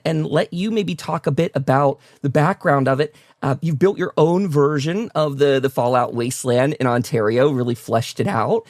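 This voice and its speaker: Male host for casual interview show; higher-leaning voice, enthusiastic, dry recording. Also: no echo. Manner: enthusiastic, effusive.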